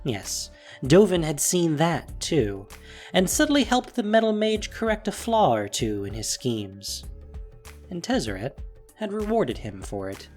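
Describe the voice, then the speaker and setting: A man's voice: on the high side, big pitch swings, measured pace, darkish tone. A man narrating a fantasy audio drama in a dramatic, scripted storytelling style. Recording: faint music behind voice. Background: faint music.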